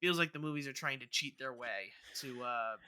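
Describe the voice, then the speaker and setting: A man's voice: mid-range voice, normal speed, bright, forceful tone, smooth. A man co-hosting a podcast in casual discussion, earnestly rambling as he thinks aloud. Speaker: podcast co-host.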